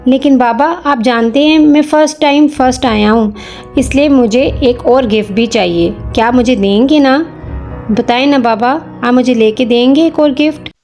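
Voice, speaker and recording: A woman's voice: sits high, normal speed, darkish tone, soft background music. A woman voicing a kind, earnest young boy in a children's story, sweet and pleading. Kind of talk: children's moral story acting.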